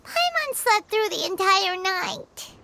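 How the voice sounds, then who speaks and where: Super squeaky tiny game sidekick, bouncy swooping voice, drawn out and slow. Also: female voice, very animated, pushed and bright, full character voice. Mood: sheepish. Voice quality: very high squeaky voice. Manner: refers to herself in third person. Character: tiny floating girlish companion creature, chirpy.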